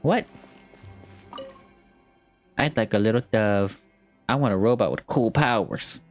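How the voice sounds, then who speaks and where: A man's voice: lowish voice, unhurried. A man giving casual, slangy gameplay commentary as a video host, sometimes reading game text aloud. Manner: slangy, informal. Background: game music.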